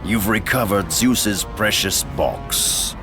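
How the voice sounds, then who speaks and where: An actor playing a menacing, scornful god of war in a video game, gravelly and mocking. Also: male voice, takes it slowly, music in the background. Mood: mocking threat. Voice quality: deep, gravelly.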